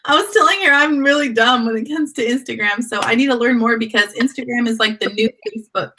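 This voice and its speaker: A woman's voice: fairly high pitch, fast talker, pushed and bright, slight background noise. A woman hosting a live video chat, with a sore throat from a cold, who rambles and often restarts her sentences. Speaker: host.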